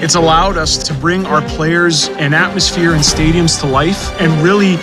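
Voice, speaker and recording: A man's voice: fairly high pitch, fairly even intonation, normal speed. A man speaking as a game developer, giving upbeat, enthusiastic explanations in a promotional interview. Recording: music behind voice.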